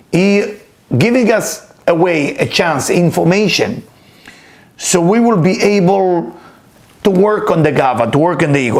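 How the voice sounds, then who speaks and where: A man, a Hebrew speaker, giving an earnest, emphatic weekly spiritual talk. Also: high-pitched. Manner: earnest, emphatic, repeats key words. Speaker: spiritual teacher.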